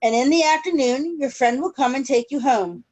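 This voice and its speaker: A woman reading a picture book aloud to children in an expressive storytelling way over a video call. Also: high voice, moderate pace. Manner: expressive storytelling with questions to listeners. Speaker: children's story-time reader. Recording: noisy video call recording.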